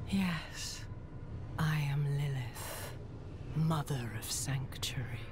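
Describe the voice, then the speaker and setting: A woman playing a calm, seductive and menacing demon queen in a dark fantasy game, speaking in a breathy, deliberate way. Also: low voice, background noise. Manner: slow, breathy, deliberate delivery. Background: music.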